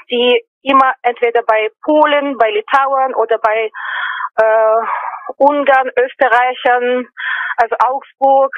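Woman, 40s, high voice, unhurried and hesitant, dry tinny phone sound. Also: no room sound. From Ukraine. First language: Russian. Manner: hesitant, many fillers. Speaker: speaker at a rally. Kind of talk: live talk over a phone link.

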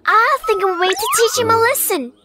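A teasing little monkey hero for a kids' cartoon. The voice is extremely high and childlike, clever in manner, with music clearly heard behind it. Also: expressive, normal speed, pushed and bright, exaggerated voice. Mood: sly. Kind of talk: children's cartoon story acting.

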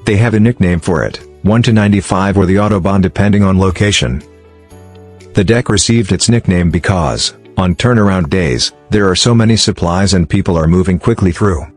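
Deep-voiced male explainer narrator. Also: brisk, a little mellow. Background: background music.

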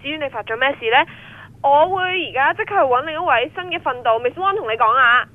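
A bossy, mischievous young woman for a radio play. Her voice is high and swings widely up and down, with a teasing, theatrical delivery. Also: expressive, quick pace, dry recording, phone-quality sound. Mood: mock stern. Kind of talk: Cantonese radio drama acting.

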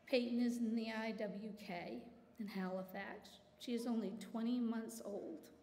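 A woman's voice: on the high side, steady tone, measured pace, dark tone. Earnest middle-aged woman in echoey church. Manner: earnest, emotional, fighting back tears. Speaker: church member giving testimony. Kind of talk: personal testimony to a congregation. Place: large church sanctuary. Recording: echoey hall with long reverb.

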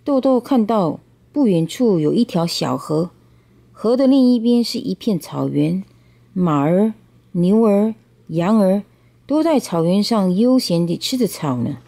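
A woman's voice: medium pitch, lively intonation, unhurried, creaky, dark tone. A woman reads a picture book aloud to a child, explaining bilingually and checking understanding with questions. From Taiwan.

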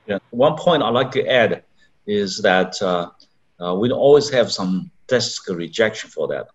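A middle-aged man, a journal editor, speaking haltingly on a panel over a video call. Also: lowish voice, animated. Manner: halting, with pauses and fillers. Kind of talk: panel discussion. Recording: dull video call audio from a distance.

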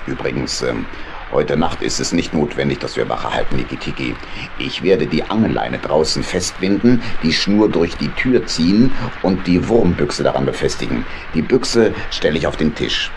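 An actor playing a fussy, avuncular badger boat captain who mutters and repeats himself in a children's radio play. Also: male voice, very deep voice, expressive, moderate pace. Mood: cosy. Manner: hesitant, muttering, repeats words. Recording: noisy storm and engine ambience.

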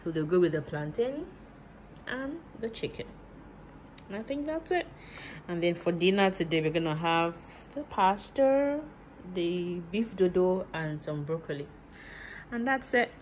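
A woman from Nigeria with a slightly low voice chats at home, recorded on a hand-held phone with faint room noise behind her. She talks through what she cooked in loose, repetitive phrases and laughs easily. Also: animated, a little slow. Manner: chatty, laughs often, simple repetitive phrasing. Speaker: vlogger and mother. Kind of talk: casual home vlog chat. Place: home kitchen.

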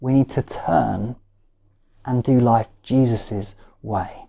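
A man preaches in an unhurried, deliberate way. His tone is mellow and relaxed, yet he leans on key words and repeats phrases for emphasis, leaving measured pauses between them. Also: mid-range voice, creaky. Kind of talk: sermon. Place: small living room at home, indoors.

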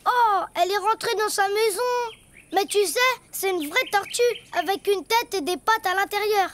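A performer voicing a lively, imaginative little boy donkey in a children's cartoon, childlike and playful. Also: extremely high pitch, normal speed, a little hiss or hum. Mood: excited, curious. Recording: loud music behind.

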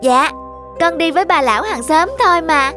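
A woman's voice: very high-pitched, lively intonation, normal speed, sharp tone, faint music behind, exaggerated voice. A woman playing an innocent, curious little schoolgirl in a cartoon, polite and earnest. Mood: earnest, pleading. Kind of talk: dubbed cartoon dialogue.

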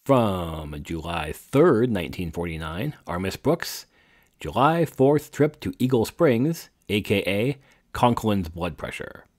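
A man's voice: fairly low pitch, sing-song, slow. A man reading a scripted introduction as a podcast host.

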